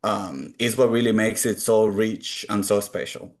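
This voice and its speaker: A man's voice: on the low side, steady tone, a little slow. Earnest Cuban-born man. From Cuba. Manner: earnest, open and reflective. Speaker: guest. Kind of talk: conversational interview answers.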